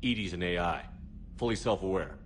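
An actor playing a stoic military commander in his thirties, measured and understated, in a cinematic video game. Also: low-pitched, lively intonation, a little hiss or hum. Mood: calm authority.

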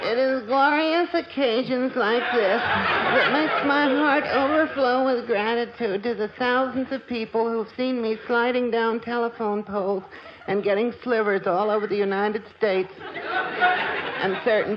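A man's voice: extremely high pitch, unhurried, over a noisy crowd. An actor playing a feeble, henpecked telephone lineman who delivers mournful jokes in a 1940s radio comedy. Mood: droll. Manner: droll, mournful punchline delivery. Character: sickly, henpecked telephone lineman, middle-aged. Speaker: comedy guest. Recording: dull, noisy old radio broadcast.

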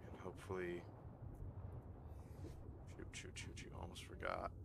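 Hoarse male gaming commentator, deep gravelly tone, noisy home recording. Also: unhurried. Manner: excitable, swearing when startled. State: losing his voice, hoarse. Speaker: gaming YouTuber. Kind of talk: casual gameplay commentary.

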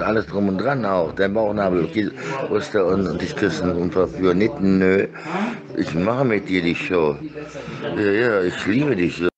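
Middle aged guy rambling on, repeats himself, lowish voice, muffled lo-fi phone recording. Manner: insistent, repetitive, rambling. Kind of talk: rambling informal monologue to camera. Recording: dull lo-fi phone audio.

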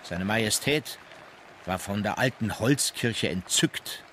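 An actor playing a sober naval officer who recalls a voyage in formal, measured radio-play narration. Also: male voice, lowish voice, expressive, sharp tone, a little hiss or hum, exaggerated voice. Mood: composed, factual. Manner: formal, composed. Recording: music bed under the voice.

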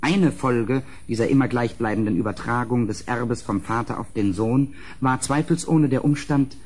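Husky, solemn gothic gentleman narrator. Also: mid-range voice. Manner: measured, solemn, literary delivery. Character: grave, haunted gentleman narrator visiting a gloomy castle. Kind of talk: dramatic gothic audio drama narration.

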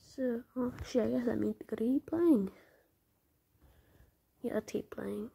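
Croaky woman mumbling half-whispered game commentary, low mellow tone, chatty and self-mocking. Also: moderate pace. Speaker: gameplay commentator. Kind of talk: casual mobile game commentary.

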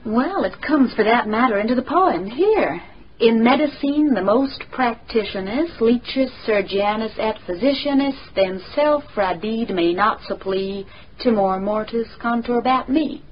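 A woman's voice: on the high side, expressive, a little slow. An actress playing a cool, educated young American woman in a radio drama, formal and guarded. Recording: dull radio recording, faint noise.

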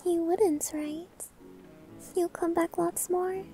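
A woman acts out a sweet, clumsy maid in an ASMR roleplay. Her voice is extremely high and velvety soft, with music behind it. She is cutesy, eager and gently teasing. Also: fairly even intonation, slow pace, exaggerated voice.

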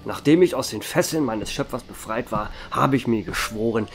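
A man playing a centuries-old vampire who runs a bar, telling his story in a calm, measured way. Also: medium pitch, close to an everyday voice. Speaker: narrator and bar owner. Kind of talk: fan audio drama narration. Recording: music bed under the voice.

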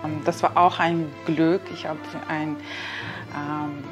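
A woman's voice: low-pitched, little pitch movement, takes it slowly. Woman, 30s, opera singer interviewed on a lapel mic, hesitant, with music under her. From Kazakhstan. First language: Kazakh. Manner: hesitant, searching for words, many fillers. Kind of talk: interview answers. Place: ornate indoor reception room.

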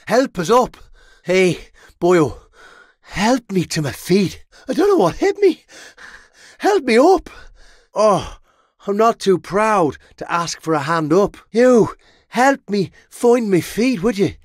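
A man's voice: sits high, big pitch swings, slow pace, breathy edge, dry recording, clean studio sound, exaggerated voice. A man with an Irish accent playing a brash, money-minded medieval mercenary in a video game, roguish and theatrical. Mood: pleading. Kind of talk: game combat barks.

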